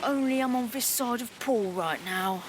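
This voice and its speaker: A woman's voice: on the high side, normal speed, slight background noise, lightly acted. A woman playing a brash, mocking pirate in an audio drama, full of taunting banter. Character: brash, mocking one-legged female pirate.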